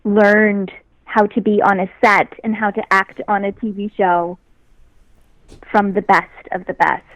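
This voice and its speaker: A young woman with a high voice chats easily as a guest, laughing now and then as she tells her stories. Her voice sits in a middle range for a woman and sounds close and dry, with no room around it. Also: level tone, unhurried, fairly bright tone, dry recording, dull audio. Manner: easygoing, laughs often, talks about herself in a light way. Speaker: actress guest. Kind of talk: casual interview chat.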